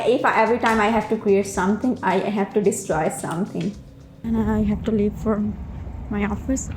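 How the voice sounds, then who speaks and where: A young woman from Pakistan whose first language is Urdu, a doctoral researcher speaking reflectively about her life, with pauses and fillers. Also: mid-range voice, darkish tone. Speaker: PhD researcher. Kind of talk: personal documentary narration. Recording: roomy with music underneath.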